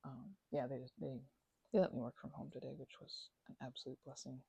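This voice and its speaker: A woman's voice: rumbling low, fairly even intonation, vocal fry, mellow, breathy edge, dry recording, full character voice. A woman playing a chatty, playful, bashful young housemate in an ASMR roleplay, rambling and stammering as if in casual conversation. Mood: drowsy, rambling. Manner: rambling, halting, stammering, giggly asides.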